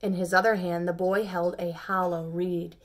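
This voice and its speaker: A woman reading a picture book aloud to children, with gentle, chatty asides to her listeners. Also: lowish voice, level tone, moderate pace. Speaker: storybook reader.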